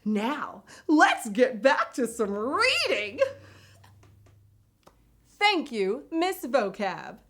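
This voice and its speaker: A woman hosting a children's read-aloud show, speaking in an animated way straight to her young viewers. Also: a little high, drawn-out words, long pauses.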